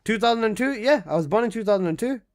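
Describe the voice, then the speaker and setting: A man in his twenties, casually chatting on a live game stream, reading out chat messages and protesting loudly, with casual swearing. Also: high voice, lively intonation, quick pace. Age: 20s.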